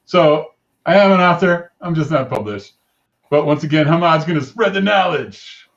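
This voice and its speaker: A man hosting an interview show, chatty with quick asides. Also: on the high side, expressive. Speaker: host. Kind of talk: casual interview chat. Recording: dull lo-fi call audio.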